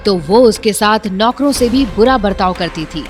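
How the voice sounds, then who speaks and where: A woman's voice: mid-range voice, normal speed, soft background music. A woman narrating a scripted audio drama with dramatic storytelling.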